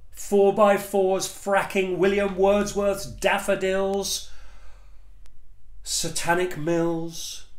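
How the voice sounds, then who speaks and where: English guy doing dramatic spoken word poem, highish voice, slow pace, echoey room. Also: a bit bright. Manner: theatrical, emphatic delivery with deliberate pauses. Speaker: performance poet. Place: small living room, indoors. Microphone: camera or phone a short distance away.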